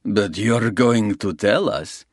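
A man voicing a smug, menacing Russian gangster who talks slowly and mocks his rival. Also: on the low side, slightly rough, dry recording, studio quality. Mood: gloating. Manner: slow, gloating, mocking. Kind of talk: audiobook character reading.

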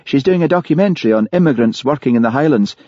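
A man's voice: mid-range voice, lively intonation, fast talker, dark tone, no room sound, pitched above the performer's own voice, close to an everyday voice. A man voicing Charlie, a chatty and amused friend sharing gossip. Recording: dull lo-fi, dry.

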